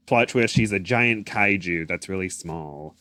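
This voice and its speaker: A man's voice: sits low, measured pace, pushed and bright, no echo. A man co-hosting a podcast, chatting casually and reacting with playful, joking interjections. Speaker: podcast co-host.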